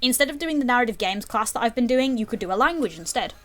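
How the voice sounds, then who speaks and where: A woman's voice: on the high side, expressive, fast, a bit bright. A young woman chatting casually while streaming a game, swearing freely and laughing often. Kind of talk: casual chat while gaming.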